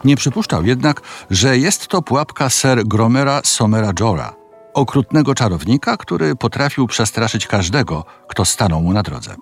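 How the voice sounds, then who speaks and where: A male narrator tells a fairy tale with very varied intonation and a medium pitch. His delivery is measured and dramatic, with soft music behind the voice. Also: expressive, breathy edge. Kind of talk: scripted fairy-tale storytelling.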